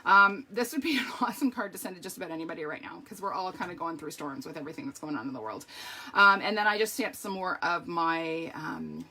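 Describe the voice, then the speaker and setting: A middle-aged woman with a strained, hoarse voice, chatting through a live card-making tutorial with lots of ums and okays. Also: mid-range voice, sharp tone, slight background noise.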